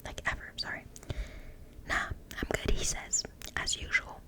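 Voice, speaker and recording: A woman with a French accent whispering as she reads a book aloud in ASMR style, softly stumbling over words and correcting herself. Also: a little slow. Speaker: ASMR creator.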